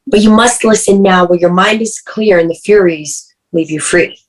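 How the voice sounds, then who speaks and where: An actress playing an anxious, devoted young sister nursing her sick brother, gentle and pleading. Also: medium pitch, normal speed, close to an everyday voice, poor audio. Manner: gentle and pleading, urgent when alarmed. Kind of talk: stage play reading.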